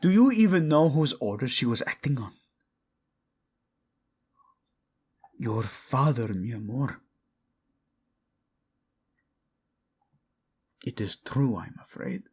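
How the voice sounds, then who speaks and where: A man's voice: mid-range voice, animated, normal speed, darkish tone, breathy edge. A man playing a proud, vengeful young king, fiercely protective of his wife, in a dramatic romance audio roleplay. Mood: grave, wounded. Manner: brooding, commanding, tender in endearments.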